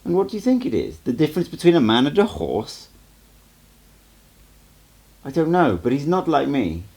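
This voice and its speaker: A man's voice: fairly high pitch, wildly swooping pitch, moderate pace, creaky, darkish tone, voice pushed up, exaggerated voice. A man voicing an anxious, earnest apprentice who asks probing questions in an audiobook. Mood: puzzled, probing. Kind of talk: amateur audiobook character reading.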